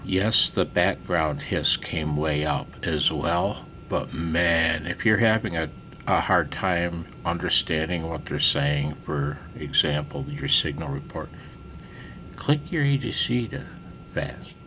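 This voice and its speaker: A man with a deep voice talks slowly through a casual demonstration. His phrasing is colloquial and a little salty, like a hobbyist sharing a tip. There is some noise behind him. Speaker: amateur radio operator giving a tip.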